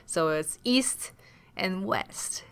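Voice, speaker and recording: A young woman co-hosting a casual podcast, playful and jokey, swinging from loud bursts to quiet asides. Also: lowish voice, animated, extremely slow, smooth. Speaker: podcast co-host. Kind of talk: casual chat.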